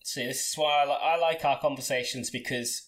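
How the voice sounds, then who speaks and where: A man's voice: medium pitch, fairly even intonation, a little fast, fairly bright tone. A man in his late twenties hosting a podcast from his bedroom, talking casually with frequent restarts and laughter. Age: 20s. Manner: chatty, laughs often, many restarts. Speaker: podcast host.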